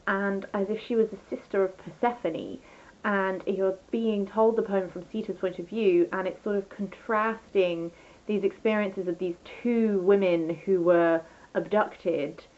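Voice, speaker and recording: A woman's voice: medium pitch, a little slow, a little mellow, highs cut off. A woman chatting casually through a book review as a vlogger, rambling and enthusiastic, often interrupting herself.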